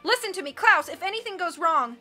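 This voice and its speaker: A woman's voice: very high-pitched, animated, brisk, pushed and bright, soft background music, pitched above the performer's own voice, heavily acted. A woman voicing a terrified captive boy in an audiobook, urgently and breathlessly warning his friend. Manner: breathless, insistent, crying out. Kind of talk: audiobook character acting.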